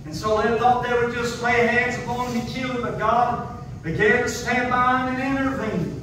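A man's voice: very high-pitched, moderate pace. A middle-aged man preaching a revival sermon in a small church, peppering every phrase with amen and hallelujah and rising into a sung chant. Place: small wood-paneled church, indoors. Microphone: far phone camera in the pews. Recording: echoey room from far off, noisy.

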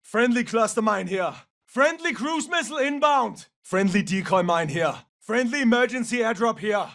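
A gruff merc soldier in a shooter game, really high gravelly male voice, clipped barky callouts. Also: expressive, moderate pace, distant mic, heavily acted. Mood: terse, alert. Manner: clipped, forceful, barked short phrases. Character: gruff battle-hungry male special forces mercenary. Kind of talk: military game combat callouts.

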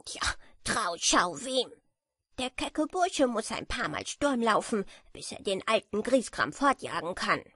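A woman's voice: medium pitch, big pitch swings, measured pace, fairly bright tone, slightly rough, no room sound, clean studio sound, full character voice. A woman reading a story voices a friendly male beetle who comforts his friend with chuckling reassurance. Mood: kindly. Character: kindly male beetle, a cheerful old friend.

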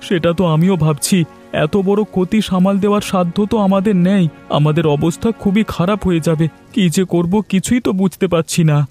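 A man's voice: high-pitched, a little fast, darkish tone, natural voice. A man voicing an earnest village farmer and husband in a cartoon, with music behind him. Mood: worried. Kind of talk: cartoon dialogue acting. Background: background music.

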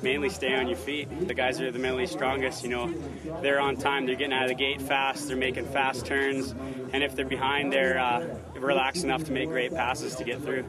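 Male athlete, monotone, matter-of-fact, heavy crowd. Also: mid-range voice, fairly quick. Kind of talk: casual interview answers. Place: outdoors at race area.

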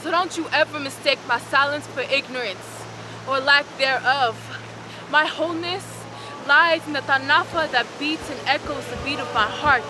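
Young woman poet, high voice, rhythmic emphatic spoken word, recorded outdoors with loud crashing surf behind. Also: normal speed, background noise. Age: young adult. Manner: rhythmic, emphatic performance delivery. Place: rocky beach outdoors. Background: crashing ocean waves.

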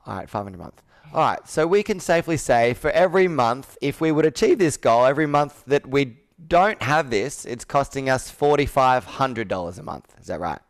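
A man's voice: mid-range voice, expressive, normal speed, hardly any pauses. A man in his thirties coaching a sales roleplay on a video call, asking probing questions and repeating answers back. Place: indoor room with whiteboard, on a video call.

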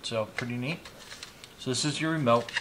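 A man in his fifties giving a casual, unhurried product demonstration, explaining each step informally as he goes. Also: mid-range voice. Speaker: product reviewer demonstrating gadgets on his channel. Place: small indoor hobby room at a desk. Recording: clear background noise, clicks of handled items.

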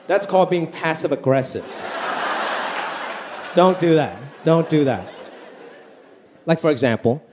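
High, lively-voiced man doing stand-up. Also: unhurried, pauses often. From Hong Kong. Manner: animated, pitch swinging high and low for punchlines. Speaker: stand-up comedian. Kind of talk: stand-up comedy set. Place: large theater stage with live audience. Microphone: handheld stage mic. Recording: live show with faint crowd and room noise.

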